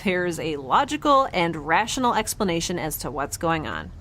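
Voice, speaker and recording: An actress playing a guarded, flustered young woman in a sci-fi audio drama, halting, defensive and rambling in a naturalistic style. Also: on the low side, big pitch swings, fairly bright tone, slight background noise.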